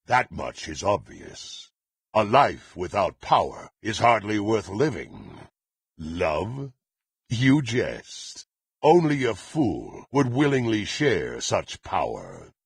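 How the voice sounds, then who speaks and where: A haughty, power-hungry dark warrior for a fantasy video game. His low, rasping male voice swings dramatically in pitch as he delivers threats in lofty, old-fashioned phrasing. He sounds violent, proud and utterly menacing. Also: no echo, studio quality, full character voice. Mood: haughty, brooding. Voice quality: gravelly, raspy. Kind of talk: theatrical fantasy game voice acting.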